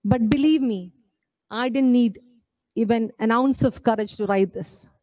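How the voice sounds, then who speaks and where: A woman's voice: on the high side, a little slow, warm tone, poor audio. A woman in her forties from India gives an earnest, emphatic speech at a podium microphone on an indoor stage, asking the audience rhetorical questions. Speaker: author at her book launch.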